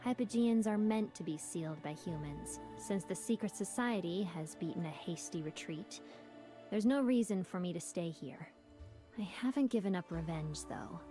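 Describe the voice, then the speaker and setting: A woman voicing a sealed female Hypogean demon in a game, calm and lightly teasing. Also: lowish voice, moderate pace, soft background music, lightly acted. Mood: resigned. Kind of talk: game voice acting.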